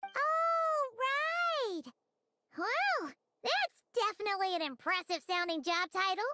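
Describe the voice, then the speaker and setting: Squeaky, very high childlike companion. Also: female voice, lively intonation, takes it slowly, bright, forceful tone, slight background noise, full character voice. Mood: eager. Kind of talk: video game voice acting.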